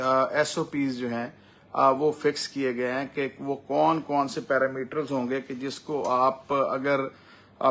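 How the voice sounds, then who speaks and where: A man with a Pakistani accent giving a semi-formal explainer as a lawyer, speaking deliberately with drawn-out hesitations. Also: medium pitch, a bit bright.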